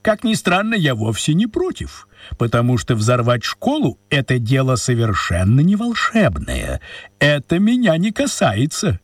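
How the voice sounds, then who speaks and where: An actor in a radio play voicing an unflappable, chatty, absent-minded wizard with a theatrical, unhurried delivery. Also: male voice, mid-range voice, wildly swooping pitch, dry recording, far from the mic. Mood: placidly lecturing. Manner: theatrical, unhurried, swooping intonation.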